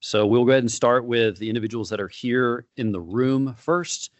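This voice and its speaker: A man's voice: medium pitch, dry recording. A man chairing a board meeting, speaking informally with frequent fillers and restarts. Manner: informal, halting, with many fillers.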